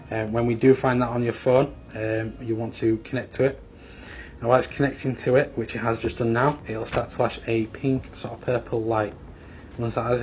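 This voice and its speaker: Male shop presenter, flat matter-of-fact delivery for a how-to video, slight background noise. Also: medium pitch. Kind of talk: product tutorial, step-by-step instruction.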